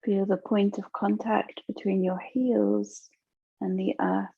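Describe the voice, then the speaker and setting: Female meditation guide, soothing and very slow, with noticeable vocal fry, on a dull lo-fi recording. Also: mid-range voice, little pitch movement, no room sound. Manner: soothing, unhurried, gentle instruction with long pauses. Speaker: yoga nidra teacher.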